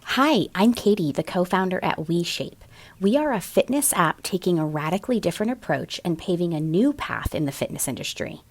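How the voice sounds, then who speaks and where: A woman company founder giving an earnest, measured scripted sponsor message. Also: lowish voice, animated. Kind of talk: scripted ad read.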